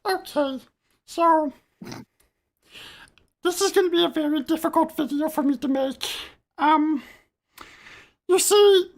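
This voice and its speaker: A small cartoon dinosaur with an extremely high voice. The creature speaks slowly and haltingly, pleading for forgiveness. It is overwrought and full of self-pity, in the style of a mock apology video. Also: male voice, full character voice. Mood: apologetic, halting.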